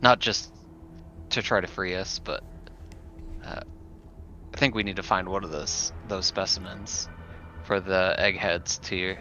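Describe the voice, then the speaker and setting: A man's voice: deep voice, steady tone, measured pace, pauses often, pushed and bright, slight background noise. A man thinking aloud hesitantly as he plays a tabletop roleplaying game, on a dull lo-fi recording. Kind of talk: casual in-character game chat. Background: music.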